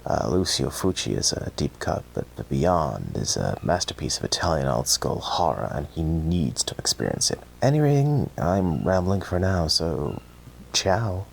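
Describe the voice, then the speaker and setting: A man's voice: a bass voice, very animated, unhurried, creaky, a little hiss or hum, exaggerated voice. A man playing an anxious, obsessive young horror fan in a found-footage audio drama, speaking in a hesitant, rambling way. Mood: reflective, rambling.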